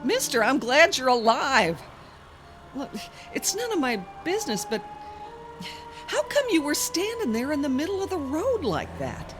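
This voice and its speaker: An actress playing a worried, apologetic, folksy motorist in an audio drama, speaking in a halting, colloquial way. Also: female voice, sits high, big pitch swings, over music. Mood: apologetic, curious.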